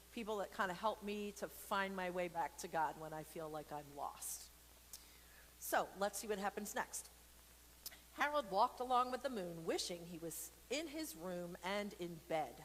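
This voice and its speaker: Woman, mid-pitched, playful and encouraging children's storyteller with informal asides; long reverb on the recording. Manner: playful, expressive, with silly sound effects and asides; informal and encouraging, talking straight to viewers. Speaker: storyteller and church program host. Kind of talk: casual read-aloud for kids with chatty asides.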